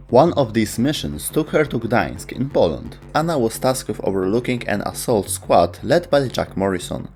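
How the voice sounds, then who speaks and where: A man's voice: lowish voice, a little mellow. A man reading scripted narration as the host and narrator of a gaming lore video. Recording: music behind the voice. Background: background music.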